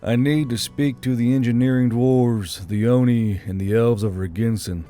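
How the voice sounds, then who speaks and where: A male narrator plays a worn-out fantasy war commander, grim and sardonic. The voice is dark and sinks low at the ends of his short, terse lines, over faint music. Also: steady tone, moderate pace, close to an everyday voice. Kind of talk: audiobook character voice. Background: soft background music.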